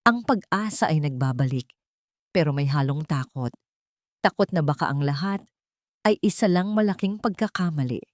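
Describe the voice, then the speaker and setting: A woman narrates a romantic drama from a script. Her voice sits low, and the sound is dry, with very little room in it. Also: animated, moderate pace, no echo. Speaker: story narrator.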